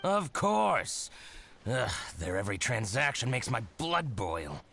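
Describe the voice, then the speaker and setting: Brief: scornful merchant with a grudge, game dialogue, wildly swinging pitch. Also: male voice, huge pitch swings, takes it slowly, creaky, bright, forceful tone, slight background noise, heavily acted. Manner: scornful, gloating, theatrical. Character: spiteful grudge-holding male merchant. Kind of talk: game voice acting.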